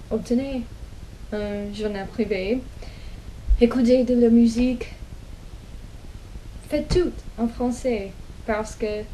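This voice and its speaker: Young American vlogger, non-native speaker, unhurried and halting, soft warm voice. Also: female voice, a little high, slight background noise, low-quality recording. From United States. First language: English. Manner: slow, hesitant, many pauses and restarts. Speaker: language vlogger. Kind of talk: casual personal storytelling in learned French.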